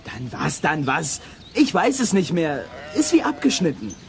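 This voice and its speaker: Lively, hot-tempered boy adventurer. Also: fairly high pitch, a little slow, gravelly, a little hiss or hum, full character voice. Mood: excited recounting. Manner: cheeky, irritable, emphatic. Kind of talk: German children's audio drama acting.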